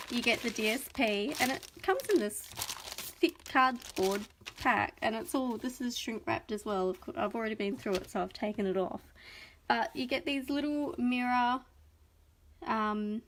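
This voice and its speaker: Bubbly Australian woman host, fairly high voice, gushing, slightly sniffly with a cold. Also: fairly bright tone. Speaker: craft video host. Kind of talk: chatty live unboxing.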